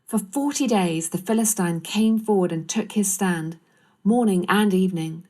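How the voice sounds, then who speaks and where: A woman narrates a Bible story from a script. Her voice sits at an average pitch for a woman, and she reads as the storyteller between the characters' speeches. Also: moderate pace, darkish tone.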